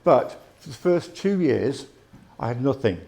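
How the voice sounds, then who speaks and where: A man in his fifties speaks to a live audience in a candid, earnest way. His voice is mellow and sits at an ordinary pitch. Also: very animated, measured pace, gravelly, slightly rough. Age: 50s. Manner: candid, plain-spoken, earnest. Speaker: speaker sharing lived experience. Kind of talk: personal testimony at a live talk. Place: live talk before an audience.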